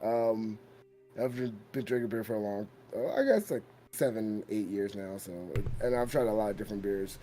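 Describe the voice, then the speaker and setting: A man's voice: lowish voice, moderate pace, mellow, a little hiss or hum. A young man co-hosting a casual gaming chat, loose and profane, ranting about games. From Philadelphia area. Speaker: podcast co-host.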